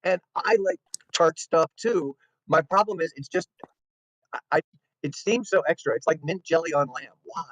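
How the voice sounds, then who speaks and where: A man's voice: on the high side, moderate pace, a bit bright, no room sound. A man co-hosting a casual comedy debate, playful and joking. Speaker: co-host. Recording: dry, dull lo-fi sound.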